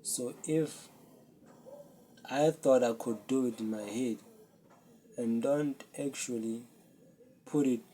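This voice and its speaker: Slow, earnest man. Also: medium pitch, warm tone, slight background noise. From South Africa. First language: Zulu. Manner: slow, earnest, self-searching, with pauses. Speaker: podcast host. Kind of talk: solo reflective talk to camera.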